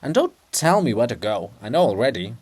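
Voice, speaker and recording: A man's voice: mid-range voice, very animated, a little fast, a little mellow. A man voicing the monument of a sardonic, nervous prince in a theatrical farce, mocking and exclamatory. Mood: mocking, indignant. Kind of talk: theatrical dramatic reading of a farce.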